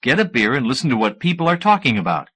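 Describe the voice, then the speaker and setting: An impatient, sardonic scientist hero from an audiobook novel, read by a man, speaking with animated, often raised pitch. His manner is direct and exasperated. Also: fast talker, breathy edge. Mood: brisk.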